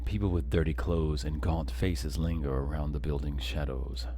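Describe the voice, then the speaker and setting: A man streaming a video game, reading its narration aloud in a hushed, low voice. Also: fairly even intonation, normal speed.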